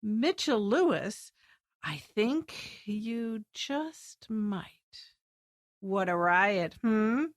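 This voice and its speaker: A sultry femme fatale villain voiced by a woman for a noir radio drama. She is coy and taunting, speaking slowly at a medium pitch in a dry recording. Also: expressive, no room sound. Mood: gloating.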